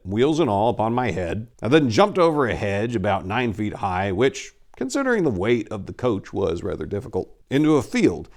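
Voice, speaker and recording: A man voicing a boastful, gallant aristocratic adventurer, telling tall tales in a grand, theatrical storybook manner. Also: fairly low pitch. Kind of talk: dramatic reading of an old storybook.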